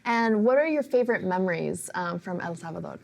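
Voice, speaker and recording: A woman's voice: lowish voice, expressive, brisk, creaky. Enthusiastic woman hosting a podcast. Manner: warm and enthusiastic, praising the guest. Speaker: podcast host. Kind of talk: scripted intro then interview questions.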